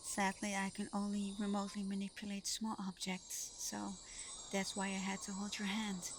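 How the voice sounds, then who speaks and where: A woman playing Santa's gentle, bashful adopted daughter in an ASMR roleplay, soft-spoken, hesitant and apologetic. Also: mid-range voice, completely flat delivery, moderate pace, slight background noise, close to an everyday voice. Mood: gently explaining. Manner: soft-spoken, hesitant, breaking into little giggles.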